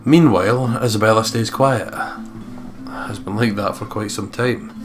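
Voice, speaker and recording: A man's voice: on the low side, a little slow. A man hosting a let's play, reading game narration aloud with casual commentary. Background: guitar music from game.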